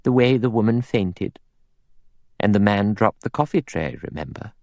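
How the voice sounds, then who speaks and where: A severe old spinster from a storybook, acted out by a man. She is creaky and softly spoken, with brisk, precise diction. Her manner is coldly pious and disapproving, and the sound is close and dry. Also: lowish voice, lively intonation, no room sound, pitched above the performer's own voice. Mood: dry certainty. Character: prim, pious, severe elderly spinster, coldly self-righteous.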